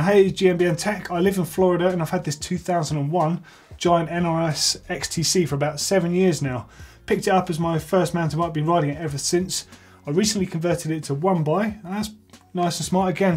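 Man, 30s, husky voice, enthusiastic casual host, workshop setting. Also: a little high, darkish tone. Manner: enthusiastic, chatty. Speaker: host of a bike tech show. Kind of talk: casual reading and reacting to viewer entries. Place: workshop with tools on the wall.

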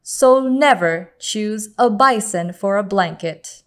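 A woman storyteller reading a picture book aloud to children in a deliberate, expressive manner. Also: medium pitch.

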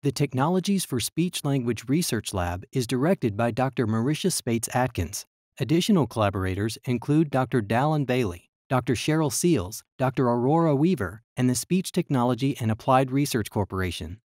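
A man reading a scripted virtual tour narration in an even, measured way. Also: medium pitch, dry recording, clean studio sound.

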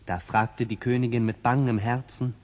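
A man's voice: medium pitch, fairly even intonation, normal speed, lightly acted. A man narrating a fairy tale in a scripted, old-fashioned children's audio play. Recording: old vinyl record, faint noise.

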